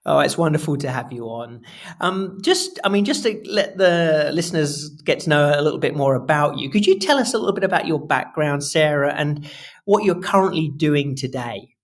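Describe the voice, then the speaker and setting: Man hosting, halting conversational phrasing. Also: on the high side, expressive. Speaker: podcast host. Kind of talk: conversational podcast interview.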